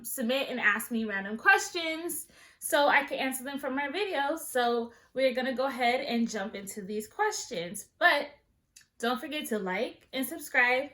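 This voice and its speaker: Woman, twenties, from Southern California; bright, sassy vlog chatter in an echoey room. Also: high-pitched. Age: 20s. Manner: chatty, sassy, playful asides. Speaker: beauty vlogger. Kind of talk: casual chat.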